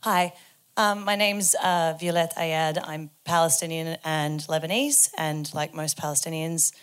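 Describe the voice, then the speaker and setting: A woman's voice: low-pitched, fairly even intonation, unhurried, a bit bright, smooth. A woman at a community forum introducing herself, a little hesitant.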